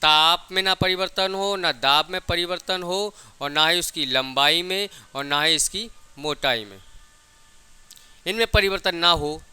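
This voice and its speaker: A man's voice: a little high, unhurried, pushed and bright, smooth. A man teaching a physics lesson, dictating definitions, repeating key phrases and answering his own questions. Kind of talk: classroom lecture with dictated definitions.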